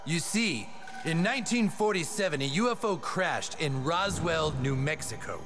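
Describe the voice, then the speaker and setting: Older agent, animated game: self-important, ominous, theatrical intonation swings, clear music underneath. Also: male voice, fairly high pitch, normal speed. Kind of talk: animated video game exposition. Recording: game audio with music behind.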